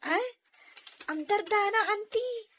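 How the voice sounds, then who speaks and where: A woman's voice: very high voice, expressive, slow, bright, forceful tone, full character voice. A woman playing a meek, overworked young girl in an Ilocano radio drama, with an Ilocano accent and a plaintive, pleading manner.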